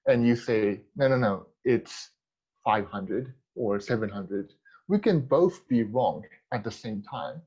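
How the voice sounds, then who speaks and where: A man's voice: lowish voice, expressive, slow, darkish tone, breathy edge, dull audio. Japanese man with a blended accent. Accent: mixed Japanese, American and British. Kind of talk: prepared talk.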